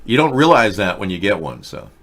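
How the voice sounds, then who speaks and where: Earnest man pitching ideas. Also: very animated, a little fast, fairly bright tone. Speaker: host. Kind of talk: political commentary monologue.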